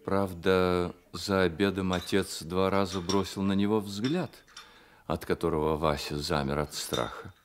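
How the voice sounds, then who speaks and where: A man's voice: deep voice, fairly even intonation, a little slow, a little hiss or hum. A man reading literary narration as the storyteller of a radio play.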